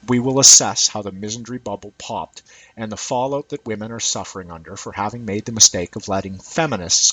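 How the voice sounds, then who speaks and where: A man's voice: mid-range voice, a bit bright, breathy edge, no echo, low-quality recording. A man reading an essay aloud as scripted narration. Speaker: reader of an essay.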